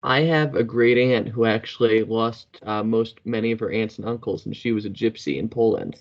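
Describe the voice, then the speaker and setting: A man's voice: lowish voice, moderate pace, muffled recording. A man speaking casually and somewhat hesitantly as an audience member.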